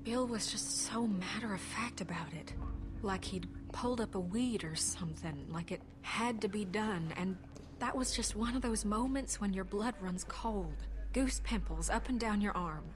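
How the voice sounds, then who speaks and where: A woman's voice: mid-range voice, moderate pace, lightly acted. An actress playing an anxious, earnest woman survivor in a video game, confiding in a naturalistic way. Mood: earnest, uneasy. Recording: game audio with music behind. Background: music.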